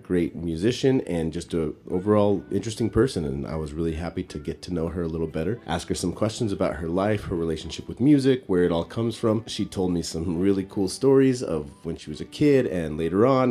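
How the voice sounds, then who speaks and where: A low-voiced man with a mellow, dark tone sounds a little congested and stuffy. He speaks in a relaxed, conversational way as the host of his own podcast. His delivery is easygoing and informal. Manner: relaxed, conversational, loose fillers. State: congested, recovering from illness. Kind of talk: casual podcast intro and sponsor read.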